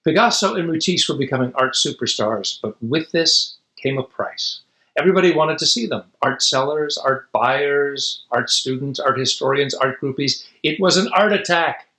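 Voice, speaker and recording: A man's voice: mid-range voice, normal speed, bright, forceful tone, reverberant, low-quality recording. A man reading a children's picture book aloud expressively, with dramatic emphasis and casual asides. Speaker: storybook reader.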